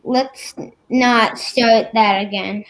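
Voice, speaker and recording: A woman playing a suspicious masked stranger in an old-time radio comedy, insistent and gruff. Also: on the high side, fairly even intonation, very slow, poor audio. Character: suspicious costumed man in a mask. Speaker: radio play performer.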